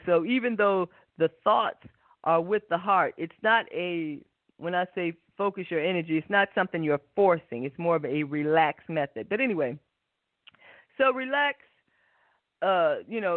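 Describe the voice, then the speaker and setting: A woman hosting a spiritual talk and guided meditation, speaking in an unhurried, reflective way and often checking for agreement. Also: fairly low pitch, no room sound. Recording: tinny narrow phone-like line.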